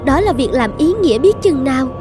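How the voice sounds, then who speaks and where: An earnest young chief's daughter in a cartoon folk tale. Her voice is high and her manner gentle, dutiful and pleading, over clearly heard music. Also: lively intonation, brisk. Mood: reassuring. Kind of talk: Vietnamese cartoon fairy-tale dubbing.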